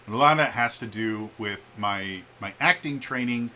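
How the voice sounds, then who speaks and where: A man giving a casual, opinionated vlog, with an expressive, emphatic delivery that shifts in volume and a stutter that catches on some words. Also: lowish voice, normal speed. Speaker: vlogger. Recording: background room noise.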